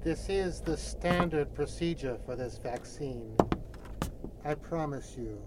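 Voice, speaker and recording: An actor playing a calm, formal doctor with a measured, reassuring manner in a radio horror play. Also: male voice, fairly high pitch, heavy background noise. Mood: coolly reassuring. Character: calm, formal, quietly sinister male doctor. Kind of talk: old-time radio horror acting.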